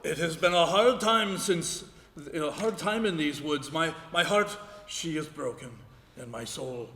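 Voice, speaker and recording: An actor playing a rough, lovelorn woodsman in a comic live radio play, declaiming and a bit dim. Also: on the high side, unhurried, pushed and bright. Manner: declamatory, slightly dim, mangles his phrases. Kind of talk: live stage radio play, broad comedy. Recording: echoey ballroom stage, noisy hall.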